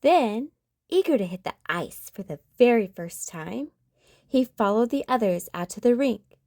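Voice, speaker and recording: Expressive woman reading a kids' story. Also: a little high, a little slow, dry recording, studio quality. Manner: expressive, sing-song storytelling. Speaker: children's storytime host. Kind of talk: reading a picture book aloud to kids.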